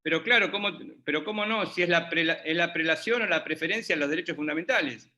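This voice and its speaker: Bright-voiced man moderating a panel. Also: a little high, fast. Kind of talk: academic panel discussion.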